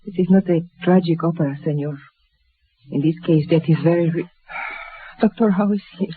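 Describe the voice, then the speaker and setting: An actress playing an anguished, proud Mexican don's wife in a 1950s radio drama, with an emotional, curt delivery. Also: female voice, fairly low pitch, moderate pace, a little hiss or hum, natural voice. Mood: bitter. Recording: muffled vintage radio recording.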